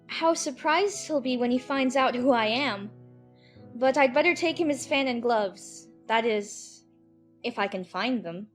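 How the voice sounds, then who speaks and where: Lady playing a curious little storybook girl, high voice, polite, wondering aloud to herself, soft music behind. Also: expressive, a little slow. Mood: surprised, musing. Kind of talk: dramatized audiobook acting.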